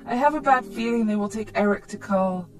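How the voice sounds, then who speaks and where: A concerned grown sibling read by a woman narrator warns earnestly and carefully in a dramatic story. The pitch is moderate, with music behind. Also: a little fast, natural voice. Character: concerned adult sibling, cautiously warning.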